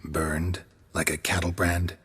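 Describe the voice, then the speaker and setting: An actor playing a gruff, laconic monster hunter in a video game, calm and probing, with a raspy voice and terse questions. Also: male voice, slow pace, exaggerated voice. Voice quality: very low, gravelly rasp. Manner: terse, flat questioning in clipped sentences.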